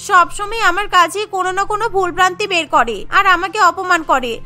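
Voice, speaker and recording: A woman's voice: very high-pitched, lively intonation, fast talker, bright, forceful tone, full character voice. A woman voicing a resentful, fretful young daughter-in-law who complains in a cartoon family drama. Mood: anxious, whining. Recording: music bed under the voice.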